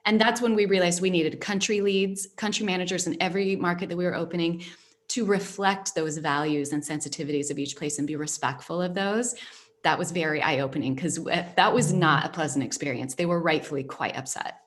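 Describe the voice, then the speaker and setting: A woman hosting a casual interview, reflective and storytelling, with frequent pauses. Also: on the low side. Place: based in Spain.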